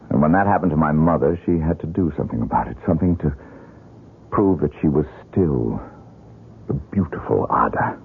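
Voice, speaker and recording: An actor playing a troubled, bitter young man in an old-time radio mystery, speaking in a halting, dramatic, husky way. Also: extremely low pitch, heavily acted. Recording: muffled, lo-fi radio drama recording.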